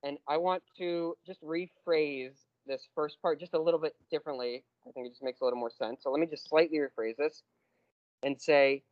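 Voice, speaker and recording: A man's voice: a little high, steady tone, no room sound, dull audio. Patient man lecturing on logic. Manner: patient, explains step by step, slows on key terms. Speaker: math lecturer. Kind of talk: classroom lecture.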